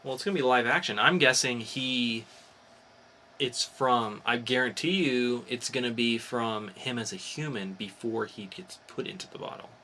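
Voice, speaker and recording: A man's voice: medium pitch, normal speed, bright, forceful tone, a little hiss or hum. A man hosting a podcast in casual chat, informal and opinionated in the way he talks. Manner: opinionated, informal, mildly profane. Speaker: podcast host. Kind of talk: casual podcast chat about comics and movie news.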